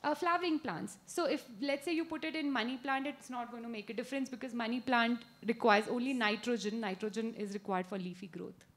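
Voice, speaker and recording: High-voiced Indian woman explaining. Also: brisk, hardly any pauses. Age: 30s. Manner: explanatory, instructive. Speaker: gardening expert and guest speaker. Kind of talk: live question-and-answer talk.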